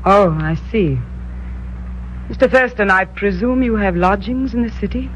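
A woman's voice: medium pitch, normal speed, slight background noise, close to an everyday voice. An actress playing a haughty queen who talks in a commanding, aloof manner, in a 1950s radio drama. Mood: imperious. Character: haughty young queen, imperious and cool. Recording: dull lo-fi old radio recording.

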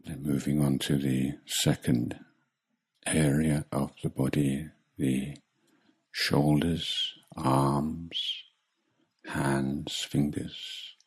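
Man, meditation teacher, extremely deep voice, flat steady intonation, calm, measured, pausing between short phrases. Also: husky. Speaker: Buddhist monk meditation teacher. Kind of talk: guided meditation.

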